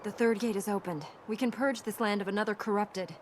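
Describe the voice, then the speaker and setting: A woman acting a young princess in a video game. She is serious and determined, with a dry, blunt edge and a medium-pitched voice. There is faint ambient noise behind her. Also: fairly even intonation, brisk, close to an everyday voice. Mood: stern, dry.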